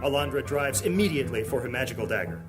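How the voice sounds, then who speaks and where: A man narrating a high fantasy audio drama as a solemn, scripted storyteller. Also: animated, quick pace, soft background music, close to an everyday voice.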